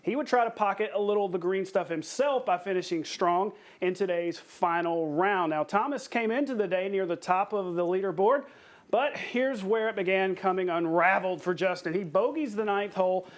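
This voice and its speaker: A man anchoring a television sportscast in a playful, conversational way, reading highlights and bantering with a co-host. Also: moderate pace. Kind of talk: upbeat scripted sportscast narration with banter.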